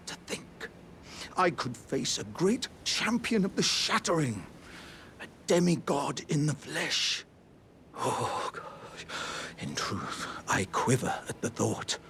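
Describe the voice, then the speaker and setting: An actor playing an earnest, jovial living warrior jar in a video game, speaking with grand, old-fashioned courtesy and theatrical formality. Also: male voice, on the high side, sing-song, slow pace, a bit bright, a little hiss or hum, heavily acted. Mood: awed. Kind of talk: video game character dialogue.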